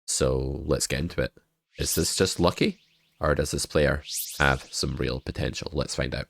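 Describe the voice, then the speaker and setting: A young man with a cold talks through poker hands in a loose, joking way, now and then swearing. His voice sits extremely low and sounds stuffed up. The recording is close and dry, made at a webcam desk setup. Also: steady tone, moderate pace, gravelly, no room sound. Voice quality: very deep voice. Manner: chatty, joking, swears casually. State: congested with a cold. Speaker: poker coach. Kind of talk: casual poker hand review.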